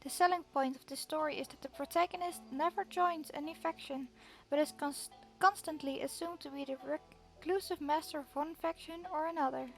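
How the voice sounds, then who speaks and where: High-voiced woman streamer reading aloud. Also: moderate pace, soft background music. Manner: steady reading with occasional word restarts. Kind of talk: reading game text aloud.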